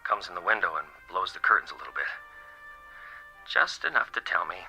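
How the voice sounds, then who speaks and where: A man's voice: low voice, big pitch swings, brisk, pushed and bright, faint music behind, full character voice, rough recording. An actor playing an anxious, haunted male travel writer, hesitant and uneasy, in a naturalistic radio drama. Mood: uneasy, brooding.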